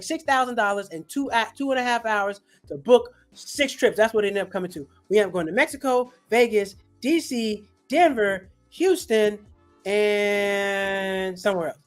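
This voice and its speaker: A comedian hosting a casual livestream chat, telling stories in an animated, slangy way. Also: mid-range voice, normal speed, soft background music. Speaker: host and comedian.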